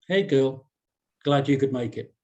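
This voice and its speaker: A man's voice: medium pitch, measured pace, a little mellow, highs cut off, lightly acted. A man playing a slangy, streetwise young woman who coaxes and pleads with a friend in a screenplay read. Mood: casual, friendly. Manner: slangy, coaxing.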